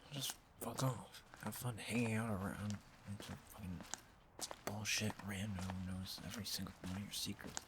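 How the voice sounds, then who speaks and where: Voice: deep voice, steady tone, moderate pace, background noise, natural voice. An actor playing a sarcastic, fed-up young student in an audio drama, blunt and sweary. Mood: bitter muttering.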